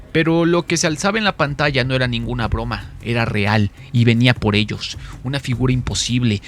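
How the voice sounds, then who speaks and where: A man giving dramatic scripted narration of a story in a solemn, theatrical manner. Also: mid-range voice. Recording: music behind the voice.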